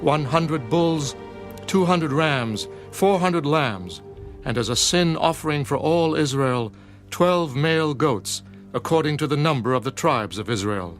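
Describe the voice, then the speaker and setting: A man giving a measured, formal scripture narration in a dramatised audiobook. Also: on the high side, animated, soft background music.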